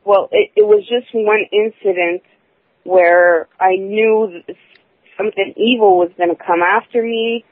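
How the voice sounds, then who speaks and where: A woman in her twenties calling in to a talk show. She tells her story haltingly, restarting and correcting herself often. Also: medium pitch, fairly even intonation, no room sound. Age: 20s. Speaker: caller. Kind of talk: personal account on a call-in show. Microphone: phone. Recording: tinny phone line.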